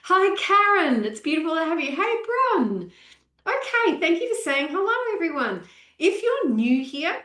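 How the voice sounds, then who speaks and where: A woman's voice: very high voice, big pitch swings, normal speed, fairly bright tone, roomy sound. A woman in her forties, a nutrition coach, hosting a live-stream training and greeting viewers by name in an upbeat way. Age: 40s.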